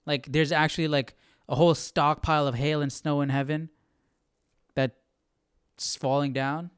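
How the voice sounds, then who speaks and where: A man talks casually as a livestream host, exclaiming and repeating phrases for emphasis. His voice is a little higher than average, and the recording is close and dry with no room echo. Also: flat delivery, measured pace, clean tone. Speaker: Bible study livestream host. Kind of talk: casual chat commentary.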